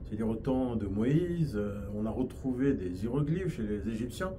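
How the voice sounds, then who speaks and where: Man, French accent, trainer explaining at length, mid-pitched voice, noisy background. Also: sing-song, moderate pace, warm tone. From France. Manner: explains at length with frequent restarts and fillers. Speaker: hypnosis and NLP trainer. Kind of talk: explanatory interview answers.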